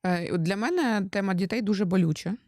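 A woman's voice: medium pitch, quick pace, a little mellow, no room sound, clean studio sound. A young Ukrainian woman hosting a casual podcast, chatty and teasing, often stumbling over her words as she questions her husband. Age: young adult. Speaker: podcast host.

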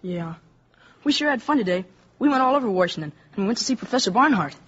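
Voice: mid-range voice, lively intonation, quick pace, fairly bright tone, husky. A performer playing a chatty, eager young boy in a 1950s radio drama. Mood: excited. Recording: dull 1950s radio recording.

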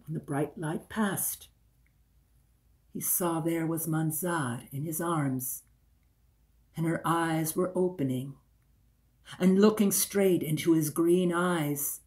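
An older man in his sixties telling a folktale in a dramatic, deliberate way, repeating phrases for effect. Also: on the high side, a little mellow. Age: older, 60s. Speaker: storyteller. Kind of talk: dramatic oral folktale storytelling. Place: small room indoors by a window.

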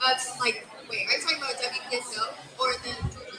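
A woman's voice: a little high, brisk, music in the background. A teenage girl soccer player from Georgia, answering hesitantly with many ums. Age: teens. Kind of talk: casual interview answers. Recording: echoey room with crowd chatter.

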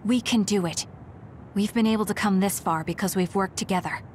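A woman's voice: mid-range voice, brisk, slight background noise, close to an everyday voice. Woman acting a determined young anime heroine. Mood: firm. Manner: firm, direct. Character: determined, serious young heroine with psychic powers. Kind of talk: English anime game dub acting.

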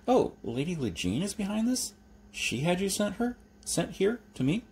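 A man's voice: a little high, very animated, moderate pace, dark tone, a little hiss or hum, pitched above the performer's own voice. A man voicing a nervous, socially awkward young clockmaker in an audiobook reading. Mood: flustered. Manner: anxious, flustered questioning.

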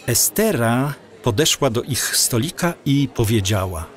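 A man's voice: mid-range voice, expressive, unhurried. A man reading scripted story narration as the narrator of an audio drama.

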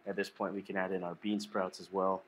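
A young man talking viewers through a recipe in a small studio kitchen set, relaxed and chatty, with music playing underneath. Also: sits low, fairly quick, a little hiss or hum. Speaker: cooking vlogger. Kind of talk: casual cooking demonstration. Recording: clear background music under voice.

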